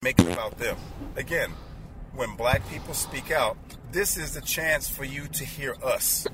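A man lectures his viewers in an earnest, steady way, at a middle pitch. The recording comes from a played video clip with clear noise behind him, including cars going by. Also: unhurried. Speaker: video maker. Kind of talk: direct-to-camera commentary.

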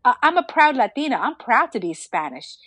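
A woman's voice: a little high, very animated, fairly quick, a bit bright, no echo. A woman from New Jersey, a former radio traffic reporter, telling stories in an interview, acting out quotes and laughing often. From New Jersey, USA. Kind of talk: casual interview storytelling.

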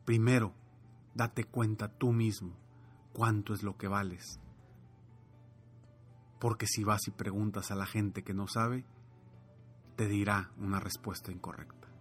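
A man storyteller voicing a calm, solemn old master who gives measured advice in a fable. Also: on the low side, soft background music, voice pushed down, close to an everyday voice.

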